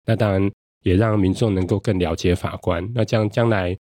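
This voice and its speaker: A man's voice: low voice, fairly even intonation, fairly quick, dark tone, no echo, studio quality. A man with a Taiwanese Mandarin accent, an interview guest explaining methodically and often rephrasing as he goes. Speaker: guest judge.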